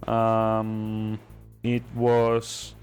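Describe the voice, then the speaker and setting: A man's voice: on the low side, monotone, drawn-out words, darkish tone, smooth, soft background music. A man giving casual live game commentary, rambling and joking as he chats to his viewers. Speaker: game streamer.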